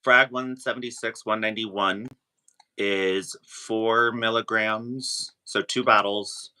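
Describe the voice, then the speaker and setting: A man chatting casually as a guest, sharing his own experience in a halting way, often rephrasing himself. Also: on the low side, steady tone, pushed and bright, clear, resonant tone. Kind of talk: casual chat.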